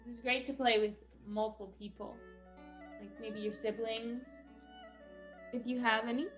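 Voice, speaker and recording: A woman's voice: a little high, level tone, moderate pace, lo-fi sound, soft background music. A woman giving a step-by-step craft tutorial for children in an encouraging, instructional way. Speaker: craft lesson host.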